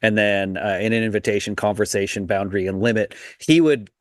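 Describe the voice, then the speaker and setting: A man's voice: lowish voice, fairly even intonation, fairly quick, dry recording, clean studio sound. A young man co-hosting a podcast in a casual, rambling chat with many restarts. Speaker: podcast co-host.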